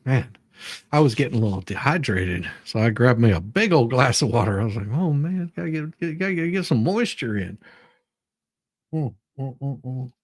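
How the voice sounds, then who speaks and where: A man's voice: mid-range voice, animated, moderate pace, no room sound, studio quality. A man hosting a livestream with casual, rambling chat, often repeating his phrases. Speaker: livestream host.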